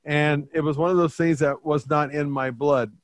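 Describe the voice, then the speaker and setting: A man's voice: fairly high pitch, fairly even intonation, normal speed, no room sound. An older man hosting a casual interview, telling stories and giving emphatic advice. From Portuguese family, dairy upbringing. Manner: emphatic, storytelling, gives advice. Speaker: host, bodybuilding coach.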